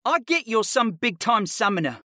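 Casting: arrogant rich boy student man performing, high-pitched, smug drawl, mocking, for fantasy audiobook. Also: big pitch swings, moderate pace, bright, forceful tone, dry recording, studio quality, heavily acted. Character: cocky, sneering pudgy rich boy student. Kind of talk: audiobook character acting.

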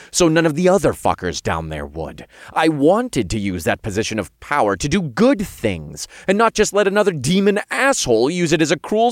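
A man voicing a defiant, sarcastic young hero and demon king in a fantasy audiobook, forceful and informal. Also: lowish voice, no room sound, clean studio sound.

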